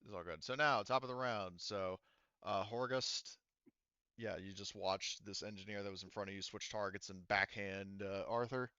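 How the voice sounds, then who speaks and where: A man's voice: fairly low pitch, steady tone, moderate pace, pushed and bright, smooth, dry recording, lo-fi sound. A man working as game master, improvising narration and rulings for a tabletop roleplaying game in a casual, profane way.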